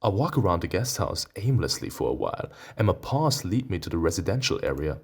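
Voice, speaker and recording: A man playing a visual novel, reading its text aloud and breaking into excitable, playful reactions. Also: deep voice, a little fast, gravelly, breathy edge. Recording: music behind the voice. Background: game music with plucked guitar.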